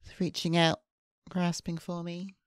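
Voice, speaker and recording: A woman streamer reading visual novel narration aloud in a subdued, measured way. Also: fairly low pitch, little pitch movement, clean tone, no echo, studio quality. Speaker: VTuber streamer. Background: faint game music.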